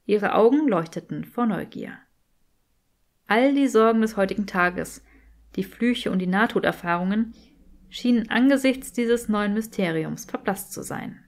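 A woman's voice: mid-range voice, animated, unhurried. A woman reading a scripted fan fiction story as an audiobook narrator.

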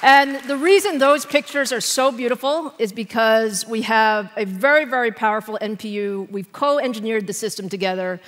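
A woman's voice: fairly high pitch, moderate pace, hardly any pauses, fairly bright tone, some chatter behind. Older woman presenting in a reverberant hall. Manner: upbeat, conversational presenter. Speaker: tech executive keynote host. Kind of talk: onstage keynote conversation. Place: large conference stage. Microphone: headset mic.

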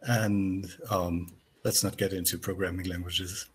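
Older german dev on a headset call, slow and halting, lots of ums. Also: male voice, sits low, flat delivery. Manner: halting, many fillers and restarts. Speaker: software developer. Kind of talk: casual video call chat. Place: small room at home. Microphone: headset on a video call.

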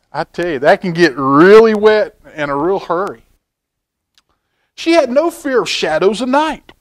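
Middle-aged man, children's storytime reader, high voice, big swoops in pitch, folksy asides. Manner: folksy, expressive, asking the listener questions. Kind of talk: reading a picture book aloud to children, with chatty asides. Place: indoor library children's room.

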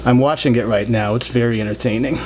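A man in his thirties with a mid-pitched voice talks bluntly and with grievance, in the loose, rambling way of an online show host. He is recorded on a desktop mic in a small room, with some noise and ambience behind him. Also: fairly even intonation, quick pace. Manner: blunt, profane, aggrieved. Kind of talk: rambling opinionated monologue to camera. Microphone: desktop microphone, later held in hand.

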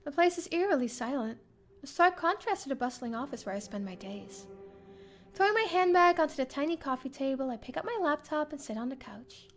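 A woman streamer reading a visual novel aloud as first-person narration, expressive and theatrical. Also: sits high, a little fast, a little hiss or hum. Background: game music.

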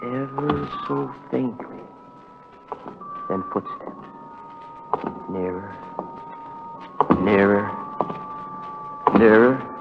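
A man's voice: medium pitch, creaky, very noisy. An actor playing a bitter, self-pitying, paranoid young man in a 1940s radio melodrama, sarcastic and repeating himself. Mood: tense, dramatic. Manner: sarcastic, muttering repeats, dramatic. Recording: dull vintage 1940s radio recording.

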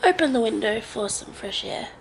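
A woman's voice: a little high, animated, normal speed, gravelly, soft background music, low-quality recording. A young Australian woman chatting casually to her camera at home, with a stuffy, blocked nose, rambling and hesitant with lots of fillers. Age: young adult. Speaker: vlogger. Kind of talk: casual vlog chat to camera. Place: living room of a house, indoors. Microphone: handheld camera at arm's length.

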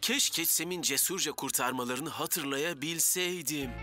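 A man's voice: fairly high pitch, measured pace, natural voice. An actor playing an earnest, easily flustered young male firefighter in a children's cartoon, fretful and apologetic. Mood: excited, eager. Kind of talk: animated children's cartoon dub. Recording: music under the dialogue.

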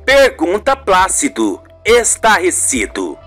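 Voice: medium pitch, wildly swooping pitch, takes it slowly, fairly bright tone, soft background music. A narrator with a Brazilian accent reading a radio soap in a dramatic scripted style.

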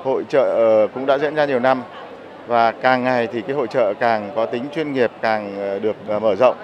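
A middle-aged man, a deputy general director, giving a halting answer full of pauses into a handheld mic at a trade fair. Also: medium pitch. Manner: halting, with many fillers. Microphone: handheld broadcast mic. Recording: dull sound, crowd in hall.

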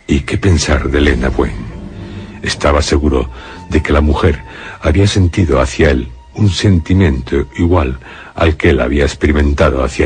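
Very deep-voiced solemn male narrator. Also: slightly rough. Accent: Spain. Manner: solemn, dramatic, deliberate phrasing. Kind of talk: scripted radio suspense narration.

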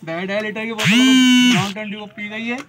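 A young man giving excited, repetitive casual vlog commentary to his viewers outdoors. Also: high voice, moderate pace. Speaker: vlogger. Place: open dirt farmyard, outdoors. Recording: noisy outdoor yard, birds and animals.

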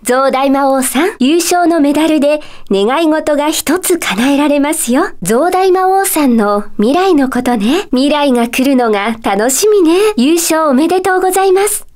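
A gentle female teacher of magic from a puzzle video game. Her voice is very high, and she speaks with courteous, composed phrasing. There is a calm air of mystery to her kindly manner. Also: normal speed, hardly any pauses. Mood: encouraging, composed. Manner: polite, soft feminine phrasing, composed and kindly. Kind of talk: Japanese video game character dialogue.

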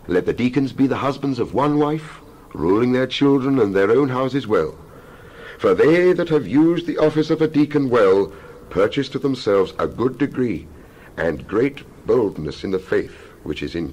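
A man reading scripture aloud in a dramatized style, solemn and declamatory, in measured phrases. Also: mid-range voice, darkish tone, low-quality recording. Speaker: Bible reader.

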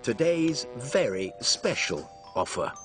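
An actor playing a polite, eager young shop salesman in an animated cartoon. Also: medium pitch, slow pace, faint music behind.

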